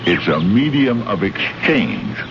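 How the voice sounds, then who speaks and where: An American actor playing a brash, greedy, condescending trade envoy from Earth in an old radio drama, talking in slangy, bullying fashion. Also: male voice, on the low side, big pitch swings, takes it slowly, exaggerated voice. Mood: pushy, menacing. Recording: noisy, muffled old radio recording.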